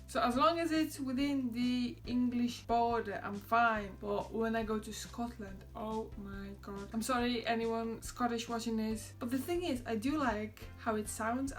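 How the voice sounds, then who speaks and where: A young woman from Poland with a Polish accent, chatting to camera in a relaxed vlog and laughing often. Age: young adult. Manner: chatty, laughs often, quotes others in a higher voice. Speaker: vlogger. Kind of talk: casual chat to camera.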